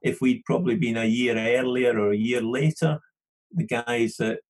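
A man's voice: medium pitch, steady tone, moderate pace. A middle-aged man with a Scottish accent, a podcast guest chatting casually and rambling with many restarts. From London. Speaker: podcast guest, studio manager. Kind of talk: casual interview chat.

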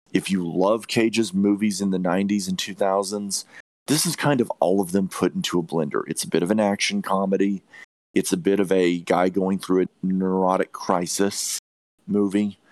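Male podcast film reviewer, low voice, dry sound, emphatic and opinionated, repeats himself for stress. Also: no echo. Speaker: podcast host reviewing films. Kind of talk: opinionated movie review chat.

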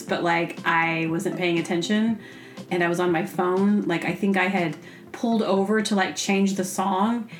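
A woman with a mid-range voice tells loose, comic stories in a casual podcast style. She rambles, pokes fun at herself and acts out her own reactions and asides. The sound is roomy, with audible echo. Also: mid-range voice. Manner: rambling, self-deprecating humor. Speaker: podcast host. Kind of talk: casual comedic storytelling.

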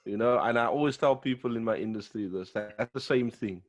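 A man's voice: medium pitch, fairly quick. A young man, co-hosting a casual podcast in a laid-back, slangy way and often repeating words as he talks. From South Africa. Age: young adult. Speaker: podcast co-host. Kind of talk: casual chat.

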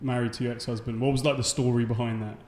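A man hosting a casual interview, relaxed and informal with loose, chatty phrasing. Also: medium pitch, fast talker, reverberant, in a large hall, slight background noise. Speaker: host.

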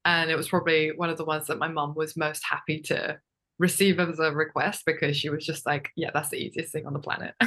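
A woman's voice: sits low, fairly quick, a bit bright, slightly rough. Chatty young woman raised in Japan. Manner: chatty, many fillers and laughs. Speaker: podcast guest. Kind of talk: casual interview chat.

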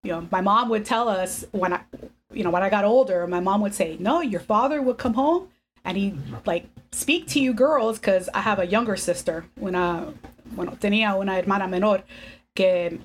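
A woman in her fifties, a podcast guest, telling family stories in a relaxed way and mixing English and Spanish. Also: mid-range voice, unhurried, slight background noise. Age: 50s. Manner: switches between English and Spanish, laughs often. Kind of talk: casual bilingual conversation.

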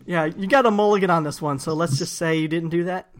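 A man's voice: a little high, quick pace. A man running a tabletop game, narrating casually with plenty of fillers and laughs. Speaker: game master.

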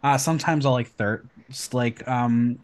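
A man hosting a casual podcast, chatty and excitable, rattling off news with lots of ums. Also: mid-range voice, measured pace. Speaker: podcast host.

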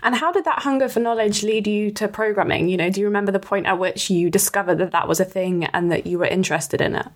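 A woman's voice: medium pitch, fast, few pauses. A woman hosting a podcast interview, asking long encouraging questions full of "you know" and "kind of". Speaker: podcast host.

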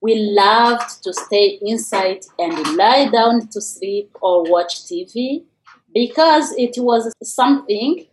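Female radio storyteller, Rwandan accent, slightly high pitch, very unhurried delivery with dramatic pauses. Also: a little mellow, slight background noise, rough recording. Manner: dramatic pauses, rhetorical questions.

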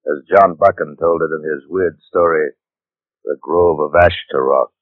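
A man's voice: rumbling low, normal speed, no room sound. A man announcing in a dramatic, portentous 1940s radio style. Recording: muffled 1940s radio recording.

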